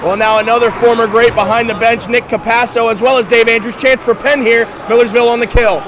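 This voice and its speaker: A young man calling live hockey play-by-play, his voice a little raspy from a weekend of games. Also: extremely high pitch, steady tone, fairly quick. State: slightly raspy from three games. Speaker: play-by-play commentator. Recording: loud crowd and arena noise.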